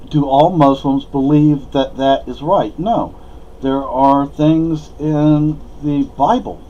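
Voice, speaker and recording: A man from Spain, whose first language is Spanish, delivers a rambling, opinionated monologue, stopping and restarting often. His voice sits at an ordinary middle pitch. The recording sounds dull and lo-fi, with faint noise behind it. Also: mellow. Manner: rambling, halting, frequent fillers and restarts. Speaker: vlogger.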